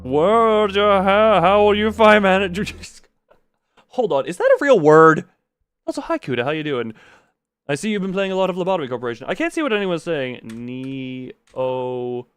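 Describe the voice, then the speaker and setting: A man's voice: high-pitched, animated, moderate pace, fairly bright tone, clean tone, dry recording. A man chatting casually on a gaming livestream and reading game notes aloud, rambling, easily sidetracked and stumbling over words. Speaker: live streamer.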